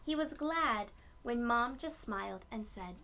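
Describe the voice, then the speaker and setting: A woman reading a picture book aloud to young children, with gentle storytelling phrasing and asides to her listeners. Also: fairly high pitch, lively intonation, a little slow.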